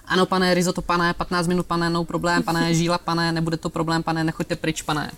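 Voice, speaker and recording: A man's voice: fairly high pitch, completely flat delivery, a bit bright, clear, resonant tone. A man voicing a meek, endlessly polite young male waiter who tacks "sir" onto every phrase, in a raised voice. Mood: eager.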